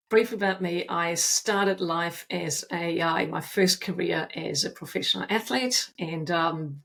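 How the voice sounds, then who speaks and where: A woman's voice: on the low side, vocal fry, fairly bright tone, low-quality recording. A middle-aged woman from Austria with a mixed Austrian, Danish and New Zealand accent, a podcast guest chatting in a self-deprecating way with many fillers. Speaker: guest, agile coach and author. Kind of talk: casual podcast conversation.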